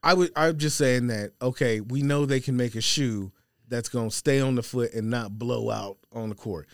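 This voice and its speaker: A man's voice: medium pitch, moderate pace, no echo, studio quality. A man speaking as a podcast guest in informal, conversational casual chat. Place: studio.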